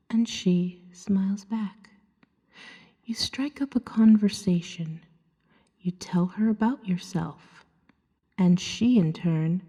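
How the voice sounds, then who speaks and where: A woman voicing a seductive, gently hypnotic female vampire in a soft ASMR roleplay, breathy and often near a whisper, coaxing and soothing as she tells a story to the listener. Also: medium pitch. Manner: slow, soothing, hypnotic second-person narration. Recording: long hall-like reverb.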